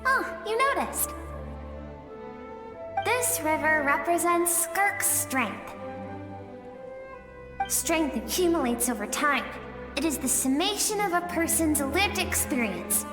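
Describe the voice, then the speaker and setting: Brief: a soft-spoken dreamlike spirit, a touch high, echoey game cutscene. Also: female voice, very animated, slow pace, long pauses, full character voice. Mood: gentle. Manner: soft, gentle, reflective. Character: calm mysterious young woman spirit of an inner world. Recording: echoey dreamlike reverb under music.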